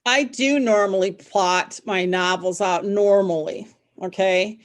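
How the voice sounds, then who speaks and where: Middle-aged female podcast guest, author type, conversational and animated, loops back on phrases, mid-range voice. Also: medium pitch, slow pace. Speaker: podcast guest, novelist and former psychologist. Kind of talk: casual interview chat.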